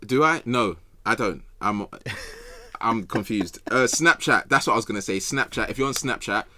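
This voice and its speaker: A man chatting casually about football as a podcast guest, slangy and jokey, quick to laugh. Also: fairly low pitch, lively intonation, pushed and bright, slight background noise.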